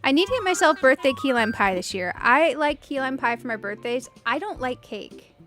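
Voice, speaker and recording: A woman in her late thirties with a high voice that sounds younger than her age. She rambles in a chatty, giggly way, as a streamer talking to viewers during a game. Music plays behind her. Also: expressive, moderate pace, a bit bright. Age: late 30s. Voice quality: youthful-sounding voice. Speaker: streamer and video game host. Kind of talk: casual livestream chat over video game play.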